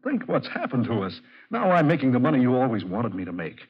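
A man's voice: medium pitch, huge pitch swings, fast, slightly rough, heavily acted. An actor in a 1940s radio drama playing a hot-tempered man spurned by the woman he loves. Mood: eager courting. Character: jilted, hot-tempered, successful man in love. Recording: muffled old 1940s radio recording.

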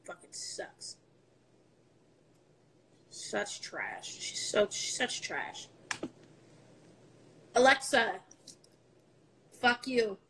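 A woman's voice: on the high side, very animated, very slow, gravelly, pauses often, fairly bright tone, a little hiss or hum, rough recording. A young woman in her twenties chatting casually on a phone livestream from home, offhand and profane, with a stuffy nose. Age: 20s. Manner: offhand, profane, laughing asides. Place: indoors at home, apartment room. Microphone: phone camera at arm's length.

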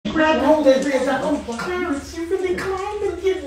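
Man putting on an echoey, shrill excitable cat. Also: normal speed. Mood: amazed. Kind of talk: comedic animal voiceover. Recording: very echoey, distant from the mic.

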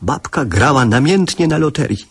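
A man playing a reflective narrator who recalls his childhood in measured, literary radio drama storytelling. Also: medium pitch, lively intonation. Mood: reflective, wry. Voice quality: husky.

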